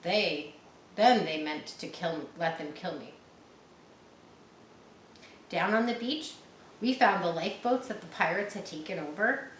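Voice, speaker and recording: A woman reading a story aloud to listeners, stopping to explain words and details in an explanatory way. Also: lowish voice. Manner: explanatory, checking understanding, pausing to clarify words. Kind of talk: read-aloud storytelling with teaching asides. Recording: echoey room with faint background noise.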